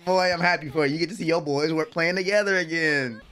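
A young American man giving excited, slangy, exclamatory live reaction commentary at a close desk mic in a room at home. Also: on the high side, fast talker. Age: young adult. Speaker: reaction video host. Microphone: close desk microphone.

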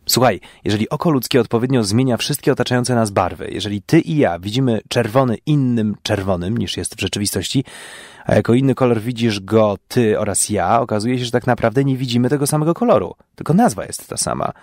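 Guy doing a cranky arrogant scientist in an audio drama, creaky voice, impatient and lecturing. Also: medium pitch, expressive, fairly quick, a little mellow, no room sound, clean studio sound. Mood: excited lecturing. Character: irritable, arrogant male amateur scientist, obsessed with experiments.

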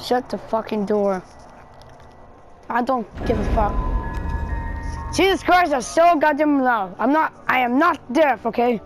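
A woman's voice: a little high, expressive, slow pace, pauses often, music in the background. A woman giving casual let's play commentary in a brash, foul-mouthed, exasperated manner. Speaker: gamer commentating on own play.

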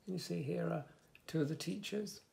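A man in his fifties reading a picture book aloud to young children, with expressive, sing-song delivery and soft asides. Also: fairly high pitch, a little fast, gravelly, a little hiss or hum. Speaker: children's story reader, host. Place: small furnished room indoors, bookshelves.

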